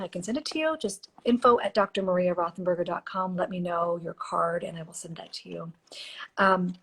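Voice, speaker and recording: A woman hosts a live stream, chatting and giving oracle card readings in a gentle, unhurried way. Her voice is breathy and slightly hoarse, and it sits at an ordinary middle pitch. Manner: warm, chatty, reads card texts softly. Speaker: podcast host and intuitive reader. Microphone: phone or computer mic for a live stream.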